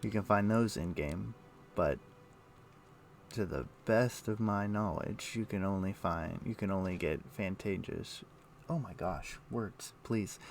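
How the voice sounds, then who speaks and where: Male game streamer, lowish gravelly voice, halting casual commentary, muttering to himself. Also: slight background noise. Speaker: game livestreamer. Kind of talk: casual livestream chat with gameplay commentary.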